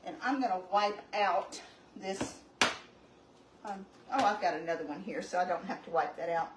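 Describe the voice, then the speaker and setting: A chatty woman with a drawl walks through a recipe at home, wandering off into homey asides as she goes. She sounds a few steps back from the mic in an echoey kitchen, with steady background noise under her voice. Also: lowish voice, normal speed. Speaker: home cooking channel host. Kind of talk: casual cooking demonstration. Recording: roomy, distant, noisy home recording.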